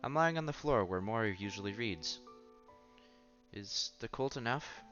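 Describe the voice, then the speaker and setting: A man with a lowish voice reads a visual novel aloud as he plays, slipping between narration and casual reaction. He sometimes trips on a line and repeats it. The sound is a bit dull and lo-fi, with game music playing behind him. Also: lively intonation, moderate pace, fairly bright tone. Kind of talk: playthrough narration, reading story text aloud with casual reactions. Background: visual novel background music.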